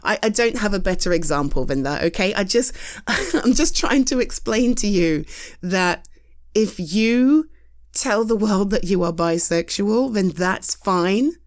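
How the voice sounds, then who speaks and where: A woman's voice: medium pitch, lively intonation, a little slow. A British woman in a casual roleplay monologue, chatty and rambling, reassuring a friend with self-deprecating humor and casual swearing. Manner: swears casually, laughs often. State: tired. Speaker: audio roleplay performer.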